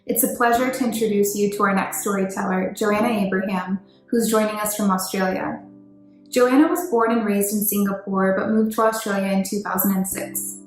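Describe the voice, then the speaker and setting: A woman's voice: mid-range voice, fairly even intonation, moderate pace, very echoey, soft background music, rough recording. A woman program manager reading a formal introduction for the next speaker. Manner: formal, read-out welcome. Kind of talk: scripted introduction.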